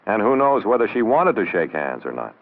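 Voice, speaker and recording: A man with a deep voice narrates an old instructional film. He is wry and instructive, with a lively swing in his delivery. The soundtrack is muffled. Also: fairly quick.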